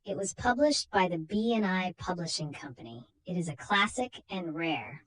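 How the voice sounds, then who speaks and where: A woman's voice: fairly low pitch, animated, moderate pace, poor audio. A woman hosting and narrating a horror comic story as a dramatic scripted reading. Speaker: narrator and host.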